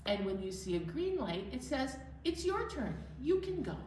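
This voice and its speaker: A woman's voice: high voice, very animated, normal speed, background noise. A woman hosting a children's library storytime, speaking in simple, encouraging phrases for young children and leading sing-alongs. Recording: roomy, distant mic, music.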